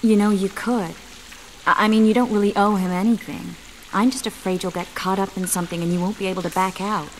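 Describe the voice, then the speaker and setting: A woman playing an earnest, caring young sorceress in a video game, speaking in a measured way. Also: mid-range voice, fairly even intonation, slight background noise, lightly acted, low-quality recording. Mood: worried.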